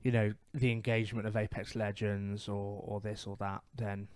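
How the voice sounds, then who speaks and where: Clear-voiced British man, thinking aloud. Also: lowish voice, little pitch movement, a little mellow, no room sound. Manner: hesitant, halting, thinks aloud. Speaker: podcast co-host. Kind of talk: casual opinionated gaming chat.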